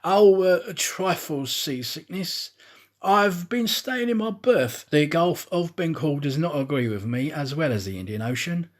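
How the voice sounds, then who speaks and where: A man's voice: fairly high pitch, animated, a little slow, voice pushed up. A man voicing a scheming, anxious detective in a dramatic, exclamatory way for a storybook. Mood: feigned surprise. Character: scheming, anxious detective feigning innocent surprise. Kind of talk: acted audiobook dialogue.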